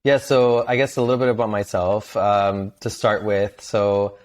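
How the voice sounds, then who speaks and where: Soft-spoken man, Spanish native speaker. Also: lowish voice, level tone, normal speed. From Venezuela. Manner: soft-spoken, laughs between phrases. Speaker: guest, content creator. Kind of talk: casual interview conversation.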